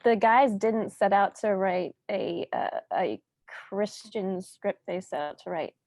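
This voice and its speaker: A woman answering interview questions as a filmmaker, conversational and measured, pausing between short phrases. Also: mid-range voice, a little mellow, no room sound.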